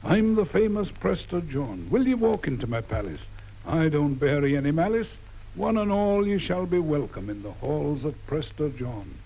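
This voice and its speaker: A man's voice: fairly high pitch, lively intonation, moderate pace, gravelly, dark tone. Prester John, a stately ageless king, voiced by a poet. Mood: grand. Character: grand, ageless male fairy-tale king. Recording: dull lo-fi old tape transfer.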